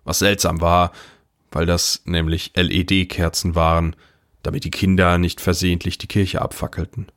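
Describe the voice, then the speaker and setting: A man's voice: sits low, level tone, moderate pace, fairly bright tone. A man playing a wry, unflappable older brother, narrating his story in a dry, understated way. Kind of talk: scripted first-person narration.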